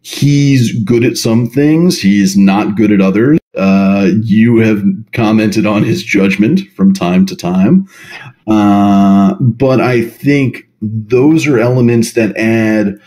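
Slow, reflective man in an interview. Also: on the low side, warm tone. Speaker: podcast guest, role-play writer. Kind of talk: thoughtful interview conversation.

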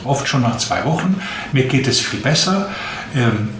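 A man's voice: medium pitch, sing-song, a little slow, a bit bright. Echoey, noisy recording of a man explaining. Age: 57, late fifties. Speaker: interviewed physician. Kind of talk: interview, explanatory talk. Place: small indoor room, seated at a desk. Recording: echoey room with clear background noise.

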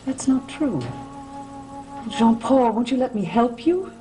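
A young actress playing an earnest, concerned, gentle woman in a gothic soap opera, speaking hesitantly. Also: fairly high pitch, lively intonation, takes it slowly. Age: young adult. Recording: old TV soundtrack, music behind.

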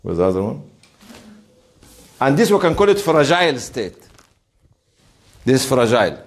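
Animated man lecturing a class. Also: mid-range voice, moderate pace. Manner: emphatic storytelling with rhetorical questions. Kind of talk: informal interactive classroom lecture.

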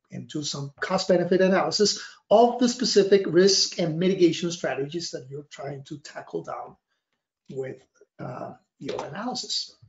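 A middle-aged man with a Costa Rican accent, a native Spanish speaker, explaining slides as a consultant in a wordy, halting way with emphatic stresses. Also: fairly high pitch, a little mellow. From Costa Rica. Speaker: risk analysis trainer and consultant giving a presentation. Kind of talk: slide presentation, semi-scripted explanation. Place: small office, indoors. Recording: dull, roomy webcam recording.

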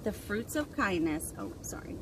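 A woman in her thirties leading an interactive storytime for young children, expressive and sing-song, asking lots of questions. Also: medium pitch, normal speed. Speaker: children's librarian hosting storytime. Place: outdoors on a wooden deck by trees. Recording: windy outdoor recording with clear noise. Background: wind and outdoor ambience.

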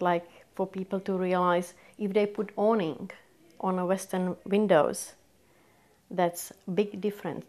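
A woman's voice: mid-range voice, a little mellow. Czech-accented older woman, earnest volunteer. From Czech Republic. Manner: earnest, non-native phrasing, keen. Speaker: community volunteer. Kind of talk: sit-down documentary interview. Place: small office with bookshelves.

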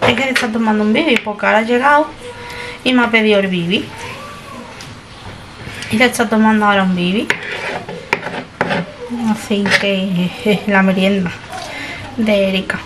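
A woman's voice: medium pitch, steady tone, moderate pace, pauses often, noisy background. A young woman, chatting to camera in a rambling way as she narrates her chores in a small kitchen. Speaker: family vlogger. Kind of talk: casual vlog chat to camera. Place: small home kitchen indoors.

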